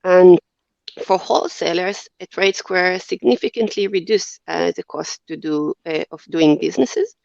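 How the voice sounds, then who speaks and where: An Israeli-accented woman in her forties speaks earnestly as a guest on a business podcast, often stopping on fillers and restarting. Her voice carries a gravelly fry. The sound is dry and dull, like a lo-fi remote recording. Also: fairly low pitch, normal speed, darkish tone, no room sound. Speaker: company CEO, guest. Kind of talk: podcast interview.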